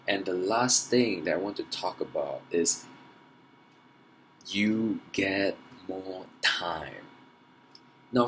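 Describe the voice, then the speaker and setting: A man's voice: sits low, a bit bright, a little hiss or hum, rough recording. A young man talking earnestly and emphatically to camera in a personal challenge vlog, trying to persuade his viewers. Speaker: vlogger.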